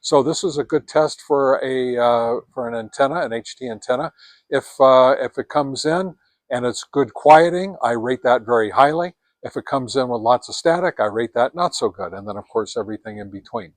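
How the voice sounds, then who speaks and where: Guy doing a casual ham radio gear review, kinda halting, medium pitch, dry sound no echo. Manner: halting, hesitant delivery. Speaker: amateur radio product reviewer and host. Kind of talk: informal hands-on product review.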